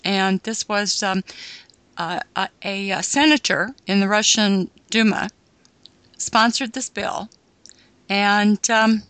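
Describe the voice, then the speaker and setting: Bright-voiced woman radio host. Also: mid-range voice, dry recording. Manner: measured, halting with many fillers. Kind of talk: unscripted radio interview.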